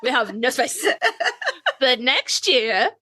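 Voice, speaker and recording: A woman's voice: a little high, lively intonation, slow pace, pushed and bright. A woman co-hosting a casual podcast, blunt, sweary and self-mocking in her talk. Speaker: podcast co-host. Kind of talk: casual chat.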